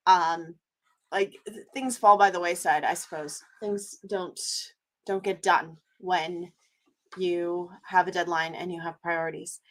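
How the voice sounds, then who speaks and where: A woman's voice: lowish voice, fairly even intonation, measured pace. A woman from Canada chatting casually on a live vlog, rambling with lots of fillers and restarts. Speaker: vlogger. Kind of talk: casual live-stream chat.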